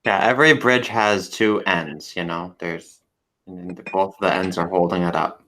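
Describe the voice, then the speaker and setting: Low-voiced man, halting and searching for words. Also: steady tone, bright, forceful tone. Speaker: podcast co-host. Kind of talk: casual livestream chat.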